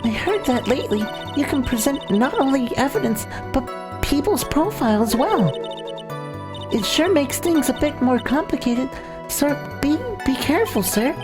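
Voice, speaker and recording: A man's voice: very high-pitched, expressive, moderate pace, pitched above the performer's own voice. A man voicing an eager, admiring young female sidekick, earnest and encouraging, in a video game dub style. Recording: game music behind the voice.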